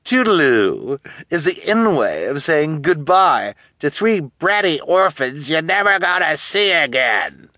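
Guy doing a vain nasty storybook villainess, high voice, mocking n theatrical, stretches words out, swoops around. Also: big pitch swings, unhurried, few pauses, pushed and bright, no room sound, clean studio sound, heavily acted. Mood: mocking farewell. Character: cruel, gloating, vain adult woman guardian, theatrical villain.

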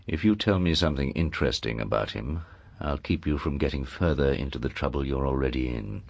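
Audiobook drama: domineering nobleman, very deep register, clipped, coolly imperious. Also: big pitch swings, normal speed. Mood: low, threatening. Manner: curt, imperious, clipped commands. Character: commanding aristocratic uncle, curt and coolly domineering.

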